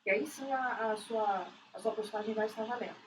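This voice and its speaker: A woman with a São Paulo accent chats casually as a radio co-host. Her voice sits fairly high, and it is picked up a little away from the mic with some noise behind it. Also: a little fast, distant mic, rough recording. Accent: Brazilian, São Paulo. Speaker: co-host of a women's radio talk show. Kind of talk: casual chatty radio hosting. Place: radio studio.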